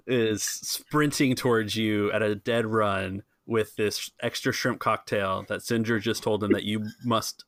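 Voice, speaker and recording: A man's voice: lowish voice, a bit bright, no room sound, studio quality. A man acting as game master, narrating and explaining a tabletop game in an easygoing, casual way.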